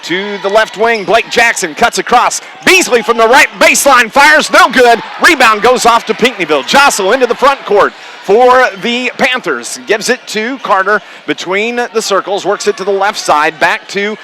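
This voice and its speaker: A man's voice: high voice, expressive, moderate pace, hardly any pauses, sharp tone. A man doing live radio play-by-play of a basketball game, in clipped running phrases. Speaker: radio play-by-play sports announcer. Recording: noisy live gym broadcast. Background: gymnasium ambience, bouncing basketballs.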